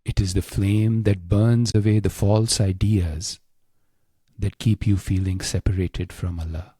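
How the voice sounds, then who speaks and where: A man leads a guided meditation in a low, soft-edged, mellow voice. He speaks very slowly and deliberately, with a hushed, solemn delivery and long pauses. The sound is close and dry. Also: fairly even intonation, husky, no echo. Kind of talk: slow scripted guided meditation.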